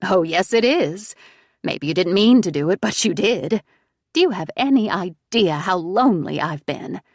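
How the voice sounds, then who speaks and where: A woman voicing a manipulative, self-pitying middle-aged mother who speaks in a crooning, wheedling way, raised in pitch and volume above her narration. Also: lively intonation, moderate pace, darkish tone, dry recording, clean studio sound.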